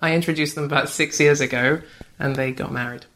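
A woman from Australia, a writer and podcast guest, telling anecdotes in a chatty, animated way. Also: deep voice, brisk. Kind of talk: casual anecdotal storytelling.

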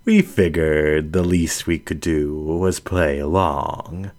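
A man voicing a composed, dominant lioness mystic in an audiobook, speaking in a measured, deliberate way. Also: rumbling low, animated, darkish tone, voice pushed down, exaggerated voice. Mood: amused, composed. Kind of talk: audiobook character dialogue.

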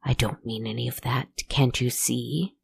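A storyteller voicing an old woman fortune teller, speaking in a slow, hissing whisper that is low and gravelly. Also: steady tone, heavily acted. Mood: intense.

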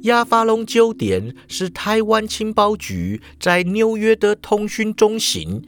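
A man's voice: sits high, sing-song, takes it slowly, a bit bright, pitched above the performer's own voice, full character voice. A blunt male secret agent scolds and lays out the facts in a raised, insistent voice, as a man puts him on in a story reading. He sounds stern and impatient. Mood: explaining. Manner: stern, accusing, lecturing.

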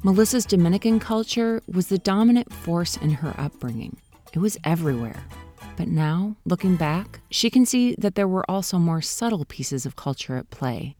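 A woman with a fairly low voice narrates her podcast in a calm, gentle way. The delivery is scripted and unhurried, with faint music beneath her words. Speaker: podcast host.

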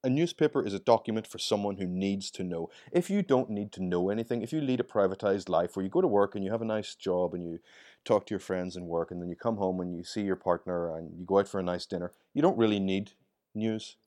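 A man's voice: low-pitched, quick pace, mellow. A man in his forties with an Irish accent, a guest commentator talking at length in a podcast discussion, often repeating words as he starts a sentence. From Ireland.

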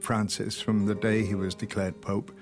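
A man's voice: lowish voice, flat delivery, moderate pace, soft background music. A man with a Welsh accent, an actor talking easily in an interview, telling stories with a wry humour. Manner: easygoing, wry storyteller. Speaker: actor guest. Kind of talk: relaxed interview chat.

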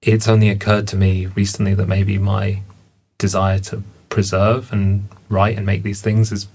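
A man's voice: on the low side, little pitch movement, moderate pace, a little mellow, slightly rough. A man speaking as a panel guest, reflective and searching, with casual fillers. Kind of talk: panel discussion.

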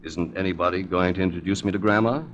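A man's voice: low-pitched, steady tone, fast talker, lo-fi sound, a little hiss or hum, close to an everyday voice. A man playing a suave, wry hard-boiled investigator in a 1940s radio drama, speaking in a dry, urbane, wisecracking way. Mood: urbane, flippant.

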